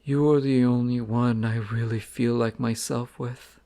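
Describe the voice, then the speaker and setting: A shy, sensitive young crown prince, played by a man, speaking in a soft, halting way. His voice is gravelly with a rough edge, and he takes his time over every word. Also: medium pitch, fairly even intonation, close to an everyday voice. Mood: wistful. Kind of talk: romantic audio roleplay.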